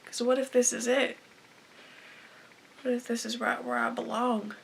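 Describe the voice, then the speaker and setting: A woman's voice: on the high side, little pitch movement, measured pace, vocal fry, slight background noise, rough recording. A teenage girl playing a desperate, bitter young farm wife in a confessional dramatic monologue. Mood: desperate, self-loathing. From Canada. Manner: confessional, anguished, swinging from hushed to bursting. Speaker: aspiring actress performing a film monologue.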